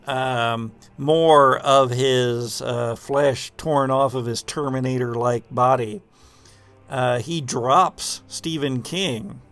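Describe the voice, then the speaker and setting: A man runs a tabletop horror game in a slow, gravelly voice. He improvises the scene as game master, pausing mid-sentence to build the description. Faint music plays underneath. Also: mid-range voice, a bit bright. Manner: halting, pauses mid-sentence for dramatic description.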